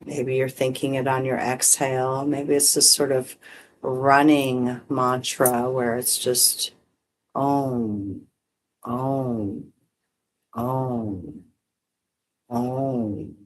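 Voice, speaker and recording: A meditation teacher guiding breath and visualization in short, gently permissive phrases with long pauses. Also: medium pitch, monotone, low-quality recording. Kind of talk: guided meditation, unhurried instruction.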